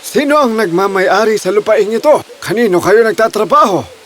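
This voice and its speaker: A man voicing a gracious, commanding king in an animated fairy tale, stately and declamatory. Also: high voice, normal speed. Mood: inquiring. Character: hearty, gracious storybook king, grateful and commanding. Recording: music under the voice.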